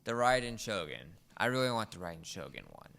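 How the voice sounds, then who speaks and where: A teenage boy hosting a podcast in casual chat, speaking haltingly with frequent restarts. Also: lowish voice, big pitch swings, gravelly, fairly bright tone. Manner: halting, restarts words often. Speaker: podcast host.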